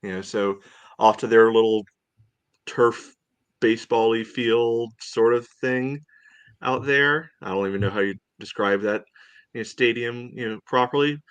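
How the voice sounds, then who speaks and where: A man co-hosting a soccer podcast in casual discussion, thinking aloud in a halting way. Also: medium pitch, steady tone, smooth.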